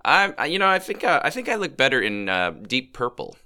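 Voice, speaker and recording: A man's voice: medium pitch, huge pitch swings, a little fast, bright, forceful tone. A middle-aged man co-hosting a podcast while he has a cold, chatting casually with fillers and restarts. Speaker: co-host. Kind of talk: casual chat.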